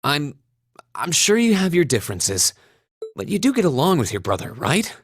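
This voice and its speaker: An actor playing an earnest, kind young student in a video game, talking in a casual, considerate way. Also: male voice, a little high, very animated, normal speed, a bit bright, slightly rough, no echo, heavily acted. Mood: sympathetic, gentle. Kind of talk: English-language game voice acting.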